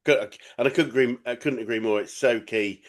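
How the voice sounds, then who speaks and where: A man's voice: mid-range voice, brisk. A man with a Northern English accent, a business coach interviewing a guest, encouraging and often stumbling over restarts.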